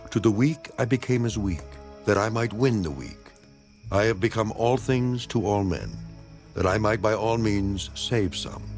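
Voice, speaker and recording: An actor playing an earnest apostle in a dramatized scripture reading, speaking solemnly and emphatically in a rhetorical manner. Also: male voice, lowish voice. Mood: earnest, exhorting. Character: apostle Paul, earnest man defending his ministry. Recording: music behind the voice. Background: music.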